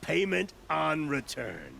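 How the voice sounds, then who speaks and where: An actor voicing a shifty, blustering opportunist in a video game, with a sly, stammering salesman's patter and a gravelly edge. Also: a little high, wildly swooping pitch, slow, bright, forceful tone, slight background noise, exaggerated voice. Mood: coaxing. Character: shifty, blustering male opportunist merchant-gambler, bargaining and flattering. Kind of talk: video game dialogue acting.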